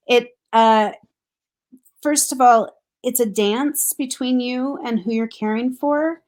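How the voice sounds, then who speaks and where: A woman's voice: on the high side, takes it slowly. Earnest woman reflecting on caregiving. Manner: thoughtful, earnest, laughs softly. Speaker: grief counselor guest. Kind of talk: reflective casual conversation.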